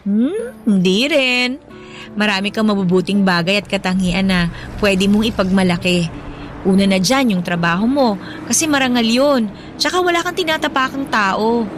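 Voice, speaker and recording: A woman playing a caring, supportive young wife in a radio drama, speaking gently and conversationally. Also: medium pitch, normal speed, faint music behind, natural voice. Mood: gentle, reassuring. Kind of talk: Tagalog radio drama dialogue.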